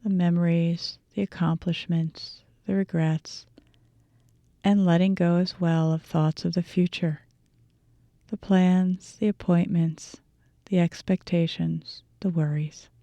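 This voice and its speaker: A woman teacher leading a guided meditation with gentle, measured phrasing and deliberate pauses. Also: fairly low pitch, little pitch movement, no echo.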